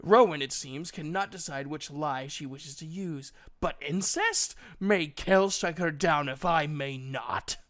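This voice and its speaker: A man's voice: on the high side, measured pace, hardly any pauses, fairly bright tone. A man voicing a proud, sardonic male elf with a fierce temper and a commanding way of talking, in an audiobook. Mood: furious.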